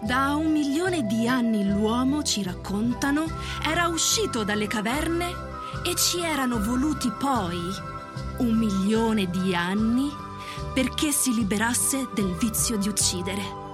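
Female narrator for radio play: higher voice, slow, solemn, deliberate, clear music bed. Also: expressive. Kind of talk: scripted dramatic narration. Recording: prominent music under the voice.

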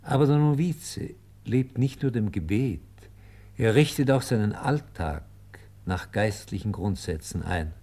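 A male narrator with a lowish, warm and mellow voice. He reads solemn devotional text very slowly, measuring each phrase with care. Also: lively intonation. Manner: measured, deliberate.

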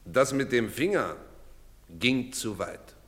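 An actor playing a gruff, sober townsman in a radio drama, speaking curtly and with little show. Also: mid-range voice, animated, slow pace.

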